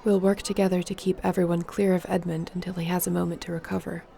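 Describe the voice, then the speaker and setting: A woman playing an earnest, determined fantasy sorceress in an intimate audio roleplay, speaking in a measured, softly formal way. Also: on the low side, flat delivery, vocal fry, a little hiss or hum. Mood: intent, planning.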